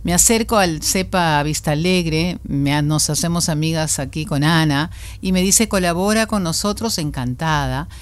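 A woman's voice: low-pitched, normal speed, few pauses. A woman with a non-local accent, a volunteer music teacher, speaking with enthusiasm in a radio studio interview. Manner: enthusiastic, lively storytelling.

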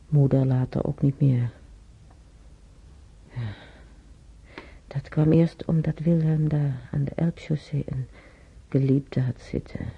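An elderly man lost in his memories. The voice is heavily husky and gravelly, halting and muttering to itself. It sounds like a dull, muffled old radio drama recording. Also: medium pitch, exaggerated voice.

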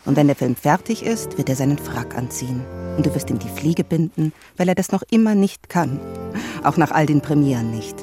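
An actor playing a bitter, sceptical spouse in a radio drama, speaking in a probing, sardonic, measured way. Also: male voice, a little high, lively intonation, music in the background, close to an everyday voice. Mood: bitter, resigned. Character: bitter, sceptical spouse of a vain film director. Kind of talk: German radio drama acting.

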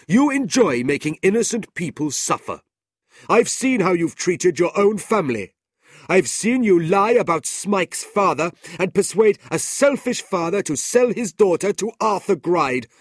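Indignant clerk, a man putting him on, high voice, loud, dry close sound. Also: very animated, a little slow, slightly rough, no echo, exaggerated voice. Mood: accusing. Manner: high-pitched and fervent.

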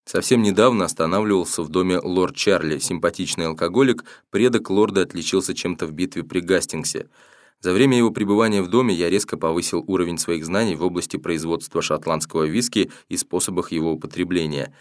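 Male audiobook narrator, low voice, steady matter-of-fact first-person read, dry close recording. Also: fairly quick, no echo. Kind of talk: first-person novel reading.

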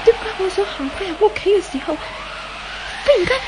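A woman's voice: very high-pitched, expressive, fairly quick. An actress with a Hong Kong Cantonese accent playing a tormented, childlike young woman in an emotional radio drama performance. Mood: frightened, tearful. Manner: emotional, exclaiming. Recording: noisy old 1970s radio recording.

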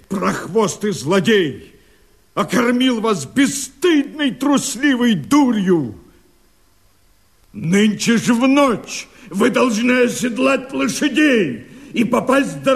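A man's voice: extremely high pitch, sing-song, gravelly, husky, exaggerated voice. A man acting a desperate, fiery rebel leader in a radio play, declaiming verse in an impassioned, oratorical way. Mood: furious, commanding. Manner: impassioned, oratorical, drawn-out phrasing. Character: fiery desperate male rebel leader rallying his men.

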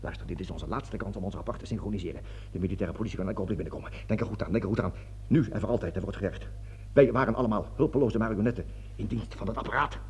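A man's voice: on the low side, rapid-fire, a little mellow, a little hiss or hum, lightly acted. A man acting a panicking, cowardly, self-justifying army colonel in a radio drama, blustering, stammering and pleading as he repeats himself. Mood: urgent, conspiratorial. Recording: dull lo-fi old radio recording.

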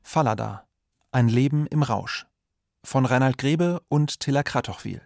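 A man announcing the credits of a radio production in an even tone. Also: medium pitch, unhurried, vocal fry, no echo, studio quality.

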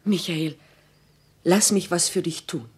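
An actress playing a devoted, anxious but determined wife in a radio drama, earnest and pleading in old-fashioned language. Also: female voice, lowish voice, a little slow, slightly rough. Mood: urgent.

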